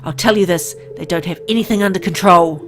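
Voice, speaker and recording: A woman's voice: fairly low pitch, quick pace, close to an everyday voice. Woman acting earnest medical insider over music. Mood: frank, urgent. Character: secretive, frank medical insider explaining a dread disease.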